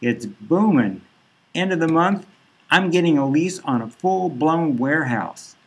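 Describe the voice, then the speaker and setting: A man's voice: expressive, a little slow, husky, exaggerated voice, poor audio. A man voicing a pushy middle-aged male arms dealer who talks up his wares in a hard sell. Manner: hard-selling, upbeat pitch.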